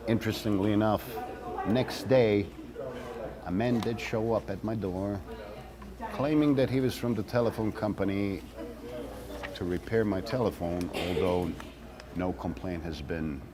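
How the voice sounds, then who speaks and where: A man acting a theatrical monologue as a wry former activist, speaking deliberately with dramatic pauses. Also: on the low side, crowd noise, natural voice. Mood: wry, measured. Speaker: actor reading a monologue.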